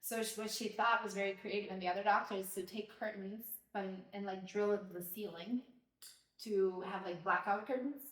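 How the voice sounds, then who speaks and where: A woman's voice: medium pitch, steady tone, fairly quick, fairly bright tone. A woman from Albania hosting a podcast, telling her childhood story in a reflective, casual way with many fillers. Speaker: podcast host, mother of co-host. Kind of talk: casual personal conversation. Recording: roomy, distant from the mic.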